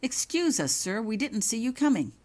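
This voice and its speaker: A woman's voice: a little high, big pitch swings, darkish tone, pitched above the performer's own voice. A woman voicing a respectful, defensive schoolboy prefect in a story, stiff and eager to justify himself. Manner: stiff, hurried, deferential.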